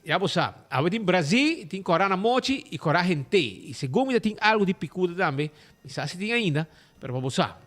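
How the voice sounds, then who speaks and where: A man's voice: high-pitched, brisk. A man with an Aruban accent hosting a radio talk show, chatting loosely, reading ads and switching between languages. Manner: lively, rambling, mixes languages.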